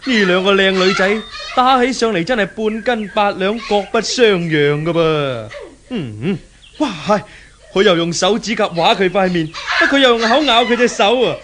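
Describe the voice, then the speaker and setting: A man's voice: high voice, expressive, moderate pace, background noise. An actor playing a gawking, gleeful male motorist in a 1970s radio drama, with a Hong Kong Cantonese accent and an exclamatory, amused, leering manner. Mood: gleeful, excited.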